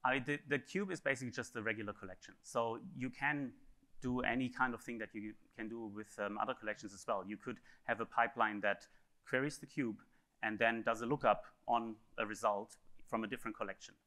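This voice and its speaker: A man speaker answering audience questions after a technical conference talk, pausing often with fillers and restarts. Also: medium pitch, fairly even intonation, sharp tone. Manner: hesitant, many fillers and restarts.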